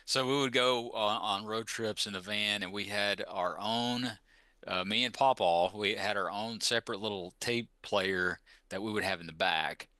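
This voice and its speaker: Bright, low-voiced man chatting casually. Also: moderate pace, no echo. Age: middle-aged. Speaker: podcast co-host. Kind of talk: casual chat with rambling personal reminiscence.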